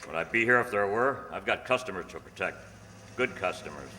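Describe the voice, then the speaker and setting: A man playing a terse undercover police detective in a radio crime drama, matter-of-fact and deadpan, recorded in an echoey hall. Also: deep voice, brisk, slight background noise. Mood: guarded, brisk. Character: terse undercover police detective narrating his own case. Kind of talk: clipped radio crime drama narration and dialogue. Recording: echoey hall, loud music behind.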